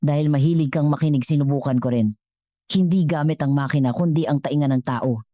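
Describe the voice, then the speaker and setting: A woman storyteller plays a stern old company chairman, a wounded father who speaks gravely and deliberately. The voice is low and mellow, recorded dry, as in an audio storybook. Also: no room sound, natural voice. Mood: accusing.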